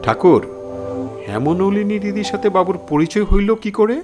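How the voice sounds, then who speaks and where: An actor playing a curious, respectful village listener in an audio drama. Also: male voice, high voice, lively intonation, moderate pace, over music.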